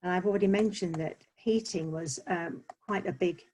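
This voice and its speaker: A woman's voice: on the low side, normal speed, a little mellow, slightly rough, poor audio. Southern English woman giving a church talk. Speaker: parish church speaker. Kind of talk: presentation talk. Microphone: video call.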